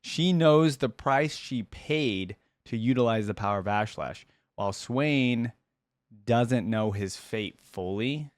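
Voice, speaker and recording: A man's voice: medium pitch, expressive, slow pace, no echo. A man hosting a reaction video, musing aloud and addressing viewers in casual, conversational commentary.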